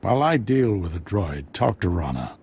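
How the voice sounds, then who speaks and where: An actor playing a seasoned, commanding Jedi master in a video game, solemn and authoritative. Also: male voice, low-pitched, sing-song, normal speed, a little hiss or hum. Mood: urgent command. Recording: loud music behind.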